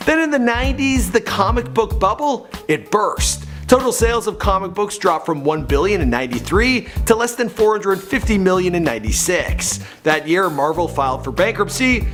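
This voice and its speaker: Animated high-pitched man narrating a biography. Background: background music.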